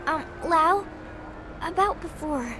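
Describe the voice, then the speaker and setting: A woman's voice: very high-pitched, lively intonation, slow pace, background noise, heavily acted. A woman playing an eager, friendly young crew member in a video game cutscene. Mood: earnest.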